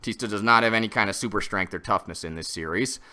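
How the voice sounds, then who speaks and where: A man's voice: on the low side, fast talker, pushed and bright. A man reading a scripted review in an emphatic, opinionated way, as the host. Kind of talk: scripted comic review narration.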